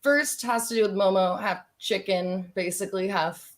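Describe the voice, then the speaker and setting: A woman hosting a casual live-stream happy hour, with a slightly raspy voice, giggly and chatty with many fillers. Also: medium pitch, normal speed. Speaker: winery happy hour host.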